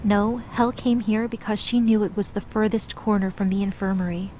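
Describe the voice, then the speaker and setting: A woman's voice: mid-range voice, fairly even intonation, moderate pace, dark tone. A woman reading scripted narration for a mythological audio drama. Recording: clearly noisy background.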